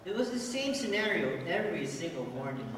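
A man's voice: high-pitched, sing-song, a little fast, background noise. A young man narrating a stage pantomime from a script, with a theatrical storyteller delivery. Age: young adult. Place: large theatre auditorium, heard over PA. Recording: echoey hall from far off, music behind. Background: loud music.

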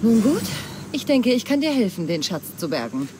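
A woman's voice: fairly high pitch, very animated, moderate pace, mellow. An actress playing a self-assured, dryly teasing female mercenary in a dramatic German game dub, speaking with confidence. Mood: willing. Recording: clear ambient noise.

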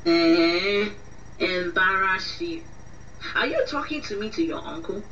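An actress playing a suspicious, scornful middle-aged Nigerian auntie in a radio drama, scolding bluntly with a Nigerian accent. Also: female voice, fairly low pitch, lively intonation, a bit bright. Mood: accusing, indignant. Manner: scolding, drawn-out emphasis. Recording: dull, distant, faintly noisy recording.

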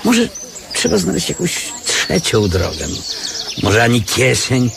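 An actor playing a fallen professor turned beggar posing as blind, philosophizing in a lofty, wordy way in a radio drama. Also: male voice, medium pitch, wildly swooping pitch, unhurried, creaky, noisy background, heavily acted. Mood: musing.

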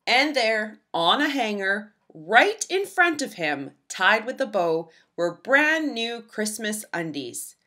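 A woman reading a children's storybook aloud with expressive, dramatic emphasis. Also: medium pitch, takes it slowly, few pauses. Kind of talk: expressive scripted read-aloud narration for young children.

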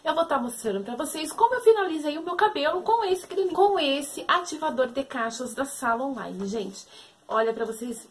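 A woman's voice: high voice, lively intonation, moderate pace, a little hiss or hum. Chatty young woman hair vlogger. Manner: chatty, says "gente" and "meninas" constantly. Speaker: beauty vlogger. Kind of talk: casual hair tutorial vlog. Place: small bedroom with shelves, indoors.

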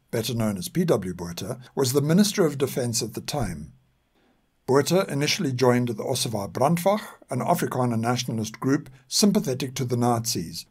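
An older man speaks with a South African accent and a husky, slightly rough voice. His pitch sits in the middle for a man. He delivers scripted narration formally and with measured care. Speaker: podcast narrator.

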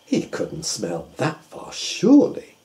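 A man's voice: on the low side, wildly swooping pitch, slow, warm tone, exaggerated voice. A man voicing a kindly, fussy old male doctor in a storybook, exclaiming in a raised voice. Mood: puzzled.

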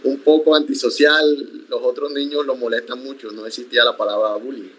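Young man, first language Iku, measured reflective speech, frequent restarts, video call from home. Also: mid-range voice, flat delivery, fairly bright tone, low-quality recording. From Sierra Nevada de Santa Marta, Colombia. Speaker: interview guest, accordionist. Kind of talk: conversational interview. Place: home room, indoors.

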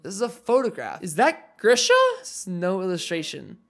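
Excitable young man reacting to anime. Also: sits high, moderate pace. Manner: excitable, exclaims and laughs, reads subtitles aloud. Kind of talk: casual reaction commentary. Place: small home room with guitars and posters. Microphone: headset.

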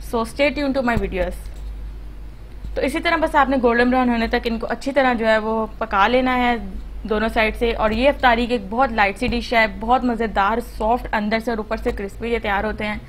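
A woman's voice: a little high, steady tone, normal speed, a little hiss or hum, low-quality recording. A woman hosting a home cooking channel, explaining a recipe step by step in a chatty, instructive voice-over full of fillers.

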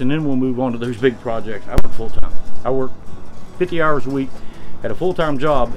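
A man's voice: mid-range voice, rough recording. A man in his fifties vlogging outdoors from a greenhouse frame, chatting plainly and explaining his work step by step to the camera. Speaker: homesteading vlogger. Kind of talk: casual to-camera vlog. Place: outdoors in a roofless greenhouse frame on a windy day.

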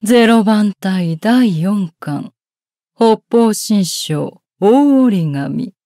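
A haughty, elegant noblewoman for an anime game, coolly disdainful. Her dark, mellow voice moves very slowly and languidly through regal, old-fashioned courtly phrases. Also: mid-range voice, expressive, dry recording, studio quality. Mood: regal, composed. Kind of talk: anime game battle voice lines.

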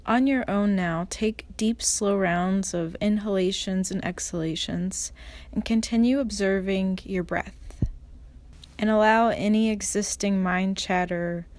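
Very slow-paced woman guiding meditation. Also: mid-range voice, dry recording. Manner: calm, measured, soft instructional delivery with pauses. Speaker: meditation guide, yoga instructor. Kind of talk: guided meditation narration.

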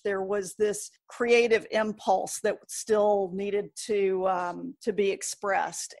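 A woman's voice: medium pitch, no room sound. Middle-aged woman interview guest, dry close sound. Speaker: interview guest, author and art historian. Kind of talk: conversational interview answers.

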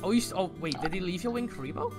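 A young man improvising as a bossy, excitable student duelist in a game roleplay, often repeating his phrases. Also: high voice, very fast. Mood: teasing. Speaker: player in a Minecraft roleplay. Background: music.

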